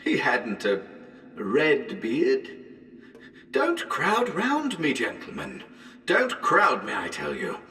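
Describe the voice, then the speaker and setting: A man's voice: mid-range voice, huge pitch swings, slow pace, bright, forceful tone, slightly rough, big-room sound, full character voice. A man playing a nervous radio broadcaster losing his mind in a haunted house, rambling and repeating himself with forced jauntiness in a horror monologue. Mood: hysterical madness. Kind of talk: dramatic horror monologue reading.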